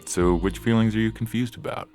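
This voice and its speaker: A man playing a calm, reassuring therapist in a science fiction audio story, gentle and patient, with music behind him. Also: fairly low pitch, close to an everyday voice. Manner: patient, gentle, measured questioning. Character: calm, reassuring male therapist to humans and robots. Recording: music clearly under the voice.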